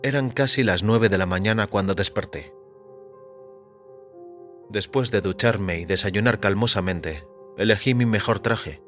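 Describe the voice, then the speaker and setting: A man reading scripted first-person fiction as narrator, in a measured, composed manner. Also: on the low side, a bit bright. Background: music.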